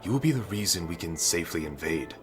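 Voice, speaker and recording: A man playing a stern, authoritative fantasy knight captain who gives clipped orders with a gruff but fatherly air. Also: low voice, lively intonation, fast talker. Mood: grave, persuasive. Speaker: military commander. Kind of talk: fantasy audio roleplay acting. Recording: orchestral game music behind.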